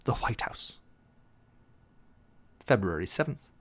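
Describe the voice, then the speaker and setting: Theatrical, deliberate man in preacherly delivery. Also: lowish voice, very animated, fairly bright tone, breathy edge. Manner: theatrical, deliberate, formal, sermon-like delivery with emphatic pauses. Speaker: preacher and commentator hosting a show. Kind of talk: dramatic reading and close-reading commentary of a legal document.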